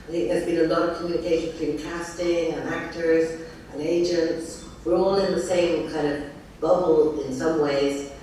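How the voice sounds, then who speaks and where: Middle-aged Irish panelist, composed and articulate, heard from a distance in a reverberant hall. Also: female voice, low-pitched, slight background noise. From Ireland. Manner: reflective, steady and articulate. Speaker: panelist, casting professional. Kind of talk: panel discussion. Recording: echoey hall from far off.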